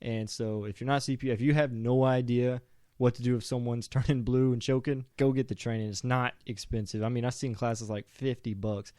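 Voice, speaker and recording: A man's voice: medium pitch, flat delivery, a little fast, a little mellow, clear, resonant tone, dry recording, clean studio sound. Joking American man telling stories casually. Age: adult parent of a young child. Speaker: show co-host. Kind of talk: casual chat, storytelling.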